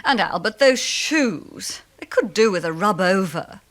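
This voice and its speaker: An actress in a radio play voicing a prim, fussy, genteel British suburban wife. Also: female voice, medium pitch, animated, a little slow, a bit bright. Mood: critical, fussy.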